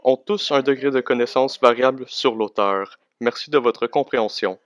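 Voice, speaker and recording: A man's voice: mid-range voice, moderate pace. A man narrates a scripted introduction. His voice sits in the middle of the usual male range. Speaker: narrator of disclaimer.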